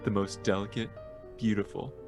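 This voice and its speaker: A man's voice: lowish voice, level tone, normal speed, over music, lightly acted. A man playing a vain, melodramatic lover and dancer with a grandiose, theatrical delivery in an audio drama. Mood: pleading.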